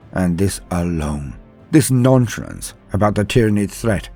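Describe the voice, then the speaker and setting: A man with a husky voice narrates lore in a theatrical, grandiose style. His pitch sits on the low side and moves expressively through measured, scripted phrases. Music plays faintly behind him. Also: a little mellow. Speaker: host of a fictional-lore guide channel. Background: background music.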